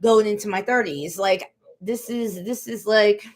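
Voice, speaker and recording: A woman in her late twenties talks casually to the camera in a personal vlog. She rambles and circles back, repeats the same points and restarts her sentences. Her pitch sits in the middle range. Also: unhurried. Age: 20s. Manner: rambling, repeats herself, many fillers and restarts. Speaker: vlogger.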